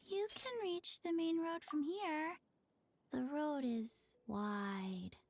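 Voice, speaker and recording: A woman voicing a small, forgetful undead girl in a video game, speaking in halting, flat, polite short phrases. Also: sits high, no echo, exaggerated voice.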